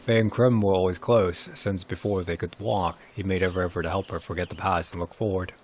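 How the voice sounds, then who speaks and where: A man voicing a weary, solemn old village elder who is gentle with children, speaking in grave, archaic phrasing as in fantasy game cutscenes. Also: sits low, fairly even intonation, a little fast, lightly acted. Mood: solemn, regretful. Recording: clear background noise.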